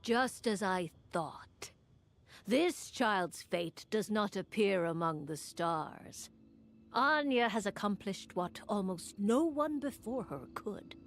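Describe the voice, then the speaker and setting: A woman playing a composed, knowing seer witch in a video game, with a measured, authoritative manner. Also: mid-range voice, expressive. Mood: solemn, knowing. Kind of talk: video game character acting.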